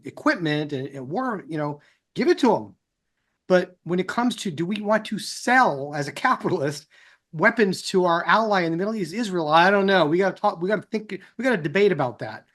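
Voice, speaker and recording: Guy hosting an interview, rambly, repeats words a lot, kinda high voice. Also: lively intonation, fairly quick, a bit bright. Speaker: interview host. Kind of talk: conversational interview.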